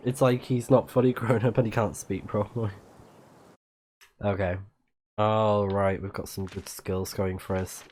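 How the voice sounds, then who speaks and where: A man's voice: lowish voice, level tone, measured pace, darkish tone, slight background noise. A man livestreaming a video game, giving casual, easygoing commentary in short reactive exclamations.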